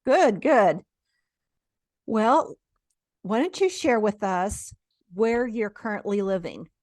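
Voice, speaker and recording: A woman's voice: medium pitch, animated, takes it slowly, a little mellow, no echo, studio quality. A woman hosting a podcast, introducing a guest and asking friendly, encouraging questions. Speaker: podcast host. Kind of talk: podcast interview.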